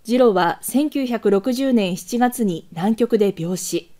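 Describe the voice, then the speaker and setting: A woman reading scripted documentary news narration in a measured, formal manner. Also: a little high, mellow.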